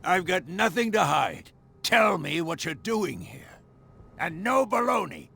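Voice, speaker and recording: Gravelly, surly mansion caretaker. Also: male voice, sits high, big pitch swings, unhurried, sharp tone, full character voice. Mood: stubborn, guarded. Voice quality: gravelly, raspy. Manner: gruff, threatening, old-fashioned tough slang. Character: surly, hostile male caretaker guarding a burnt mansion. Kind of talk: video game cutscene acting.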